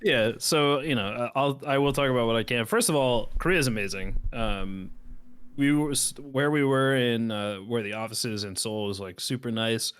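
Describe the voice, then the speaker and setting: A man's voice: on the low side, fairly bright tone, smooth. A man chatting upbeat as a podcast guest, keeping some things guarded. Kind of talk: casual podcast chat.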